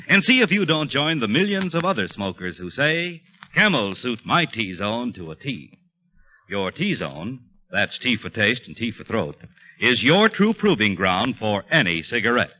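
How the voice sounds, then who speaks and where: A man's voice: medium pitch, highs cut off. An American man announcing in a polished, emphatic 1940s radio advertising style. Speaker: radio announcer and cigarette pitchman. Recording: old 1940s radio broadcast.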